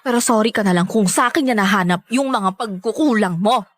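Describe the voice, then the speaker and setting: A Filipino woman acting in a radio drama as a defiant, whiny young mistress, brazen and quick to defend herself. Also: medium pitch, no room sound, natural voice.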